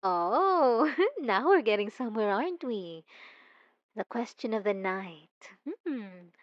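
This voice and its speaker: A woman playing an obsessive, possessive young stalker in an ASMR roleplay, talking softly and intimately with teasing giggles. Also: on the high side, big pitch swings, slow, dry recording, dull audio. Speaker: narrator speaking to the listener.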